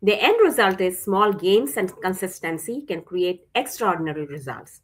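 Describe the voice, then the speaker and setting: A woman's voice: on the low side, animated, normal speed, husky. A woman from India giving a casual talk, a little hesitant with fillers. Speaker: guest speaker.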